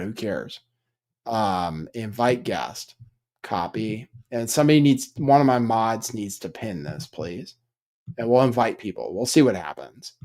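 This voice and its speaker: A man at mid pitch hosts a live stream in a loose, offhand manner. His tone is dry, and he drifts between comments and housekeeping asides. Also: darkish tone. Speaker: livestream host.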